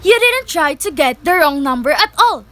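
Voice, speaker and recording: Young woman acting anxious, demanding invalid, very high-pitched. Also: sing-song, moderate pace, sharp tone, full character voice. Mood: exasperated, demanding. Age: teenager. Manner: agitated, insistent, pleading. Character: anxious, demanding, sickly woman alone at home. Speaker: student actor. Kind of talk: amateur radio play acting.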